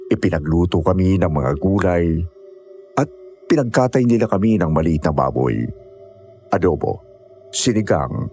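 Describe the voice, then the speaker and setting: A man's voice: deep voice, expressive, moderate pace, pauses often, mellow, faint music behind. A man playing an uneasy young climber, narrating a scary mountain ordeal in scripted first person.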